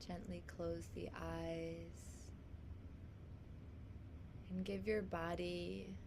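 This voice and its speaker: A woman leading a guided meditation in a soothing, gentle manner, leaving long pauses between phrases. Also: on the low side, little pitch movement, background noise. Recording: music behind the voice. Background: meditative instrumental music.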